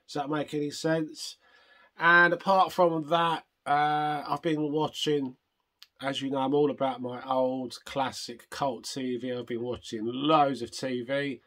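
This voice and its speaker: An older man hosting a retro gaming vlog, chatting casually and rambling, hoarse from a chest infection. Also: medium pitch, fairly bright tone. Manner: rambling, self-interrupting, chatty. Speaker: retro gaming channel host.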